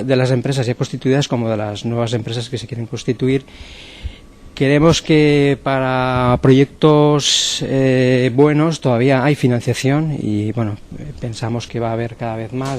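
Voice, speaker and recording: A man with a Spanish accent and a mid-range voice lays out an event programme. His delivery is hesitant and halting, with phrases started and then repeated. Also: medium pitch, a little mellow, a little hiss or hum. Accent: Spain. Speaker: university event coordinator. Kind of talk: spoken presentation of an event programme.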